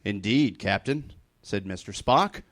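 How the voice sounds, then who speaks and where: A man giving a comedic dramatic reading of fan fiction with halting, over-formal mock gravity. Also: fairly low pitch, lively intonation, background noise. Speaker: comedic reader on a stage show.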